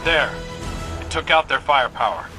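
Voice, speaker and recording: A man plays a confident soldier leading a strike in a game cutscene. He is terse and commanding at a medium pitch, with loud music behind. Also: sing-song, moderate pace, natural voice. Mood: focused, commanding. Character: determined male soldier leader, vengeful, coolly confident. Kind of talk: video game cutscene acting.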